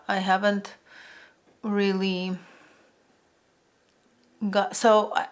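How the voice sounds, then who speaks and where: A woman's voice: medium pitch, fairly bright tone. A young woman, chatting casually while doing her makeup, rambling with many fillers and restarts. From Eastern Europe. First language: Russian. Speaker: beauty vlogger. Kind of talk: casual get-ready-with-me chat.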